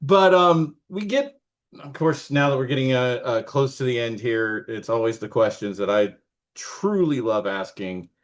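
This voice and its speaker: A man's voice: mid-range voice, animated, a little slow. A man hosting a casual interview. He speaks eagerly and often trips over his words. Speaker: podcast host.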